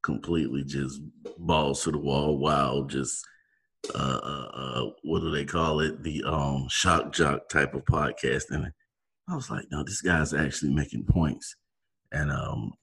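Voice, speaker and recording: A man's voice: a bass voice, a little slow, creaky, fairly bright tone, breathy edge. A man interviewing a guest in casual chat, rambling and searching for words with many fillers. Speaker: podcast interviewer.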